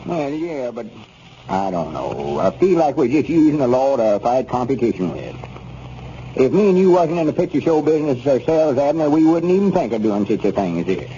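An actor playing a conscientious, folksy rural justice of the peace in a 1930s radio comedy, with a rural Arkansas accent and a deliberate, muttering manner. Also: male voice, mid-range voice, big pitch swings, mellow, close to an everyday voice. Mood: troubled, reluctant. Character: hesitant, conscientious rural justice of the peace, middle-aged or older. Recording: muffled, noisy 1930s radio recording.

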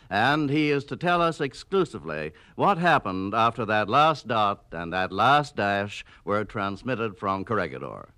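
A man's voice: medium pitch, fairly bright tone. A man interviewing in a formal 1940s radio style, with a dramatic, emphatic announcer's delivery.

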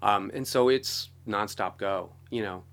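Low-voiced man telling career stories conversationally. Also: measured pace. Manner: animated, informal, peppered with "you know" and "like". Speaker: guest, composer and orchestrator. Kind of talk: conversational interview storytelling.

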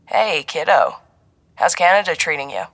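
A woman's voice: low voice, big pitch swings, moderate pace, fairly bright tone, dry recording, exaggerated voice. Woman voicing a mild, dry male intelligence handler. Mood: teasing, mock innocent. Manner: bantering, mild, turning businesslike.

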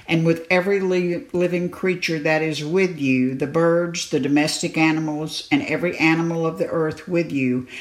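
A woman reading scripture aloud in a measured, solemn manner. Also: sits low. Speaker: scripture reader. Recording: echoey, reverberant room.

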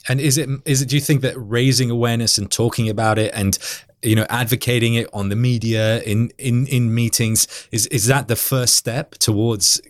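A man of middle pitch conducts an interview, feeling his way through questions and repeating words as he goes. His voice is dry and close, with no room echo. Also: steady tone, moderate pace. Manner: hesitant, repeating words while forming questions.

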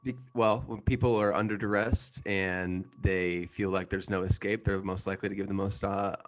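A man's voice: low voice, steady tone, moderate pace, natural voice. A man playing an unhinged, blustering teacher in improv comedy, often repeating himself. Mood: smug, unrepentant. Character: unhinged male teacher forcing tests on captives.

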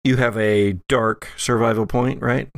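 A man runs a tabletop role-playing game as game master. His voice is mid-pitched and closely recorded with little room sound. He narrates deliberately, pausing as he works out each ruling. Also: fairly even intonation, clear, resonant tone, dry recording, clean studio sound.

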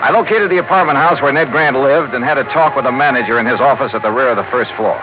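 An actor playing a wry, brisk American insurance investigator in a 1950s radio detective drama. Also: male voice, medium pitch, close to an everyday voice. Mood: dry narrating. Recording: muffled 1950s radio recording with music.

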